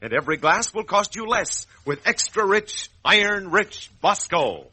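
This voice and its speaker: A man's voice: high voice, sing-song, a little slow, pushed and bright, slightly rough. A man announcing and reading sponsor copy for children in 1940s radio style. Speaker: announcer and pitchman.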